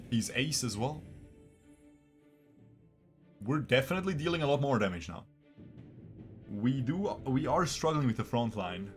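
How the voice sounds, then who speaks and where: Chatty young man streaming a game. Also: medium pitch. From Bosnia, raised in Switzerland. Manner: chatty, talks to his viewers, repeats himself. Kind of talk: live gameplay commentary. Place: small room indoors.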